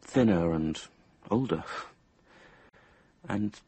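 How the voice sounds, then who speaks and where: A man's voice: sits low, animated, drawn-out words, warm tone, husky, dry recording, heavily acted. An actor playing a troubled, anxious young man, narrating and acting in a radio drama. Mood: uneasy, haunted.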